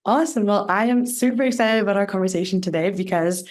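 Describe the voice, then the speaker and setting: A woman's voice: mid-range voice, fast, a little mellow. A woman from India hosting a podcast interview, upbeat and chatty with lots of "like" and "really". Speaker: podcast host.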